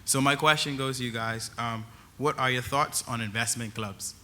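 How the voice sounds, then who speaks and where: A man's voice: medium pitch, steady tone, normal speed, bright, forceful tone. A young man from the Bahamas, a student leader, introducing himself formally and asking a question. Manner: formal, polite. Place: conference hall.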